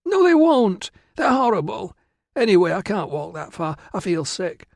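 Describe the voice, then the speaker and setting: A man voicing a silly, excitable teenage girl in an audiobook, gushing, whiny and insistent. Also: high voice, a little fast, no room sound, studio quality, pitched above the performer's own voice. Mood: sulky, insistent. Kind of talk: audiobook character voice.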